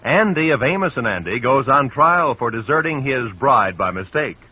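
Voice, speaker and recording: A man's voice: mid-range voice, animated, moderate pace, slightly rough. A man delivering a polished, upbeat scripted radio announcement with emphatic delivery. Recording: dull old radio recording.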